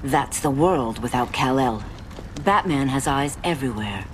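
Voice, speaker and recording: Stern warrior princess. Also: female voice, very deep voice, animated, slight background noise. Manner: measured, firm and authoritative. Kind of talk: game cutscene voice acting.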